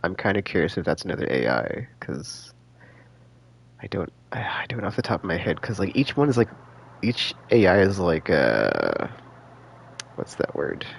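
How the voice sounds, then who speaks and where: A low-pitched man with a creaky, fried edge streams a game. He talks casually and loosely, circling back as he thinks aloud, with faint noise behind him. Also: huge pitch swings, moderate pace. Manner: rambling, hesitant, slangy, thinking aloud. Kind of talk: casual live stream commentary.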